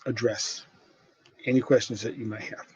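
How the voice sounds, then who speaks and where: An older man speaking as a professor taking questions after a presentation, explaining informally and haltingly with many repeated starts. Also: mid-range voice, fairly even intonation, slight background noise. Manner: halting, with frequent repeated starts and self-corrections. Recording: muffled, dull lo-fi audio.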